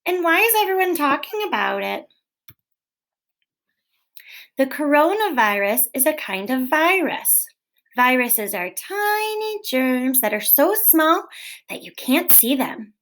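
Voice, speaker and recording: A woman teacher reading a children's book aloud in a gentle, clear, expressive way, posing questions to young listeners. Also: sits high, a little slow. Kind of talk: read-aloud storytelling for children.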